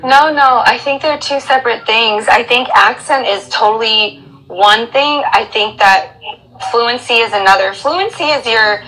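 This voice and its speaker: American woman, guest English teacher, casual interview, lightly raised pitch, bright voice. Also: measured pace, poor audio. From United States. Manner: friendly, explanatory, uses examples.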